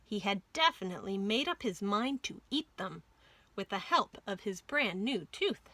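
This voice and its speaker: A woman's voice: medium pitch, lively intonation, normal speed, sharp tone, no echo. A woman narrating a children's story expressively as a storytime host.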